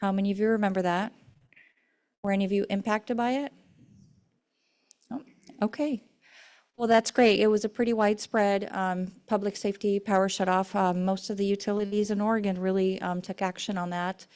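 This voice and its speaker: A soft-spoken woman giving a public presentation as a company representative, engaging the people she addresses with questions. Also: mid-range voice, fairly even intonation, moderate pace, pauses often, clean tone. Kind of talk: public presentation to a community meeting.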